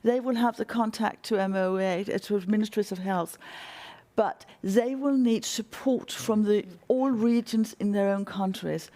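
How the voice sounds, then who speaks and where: A woman's voice: medium pitch, fairly even intonation, a little slow, mellow. A woman speaking as a panel guest, explaining things earnestly. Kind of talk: panel discussion.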